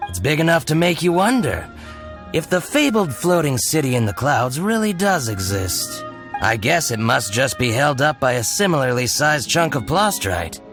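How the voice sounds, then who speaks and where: An actor playing a sly, chatty small-time merchant with an ingratiating, theatrical manner in a video game. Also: male voice, medium pitch, very animated, moderate pace, fairly bright tone. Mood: chatty, welcoming. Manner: coy, smooth-talking, ingratiating. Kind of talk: video game character dialogue. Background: music.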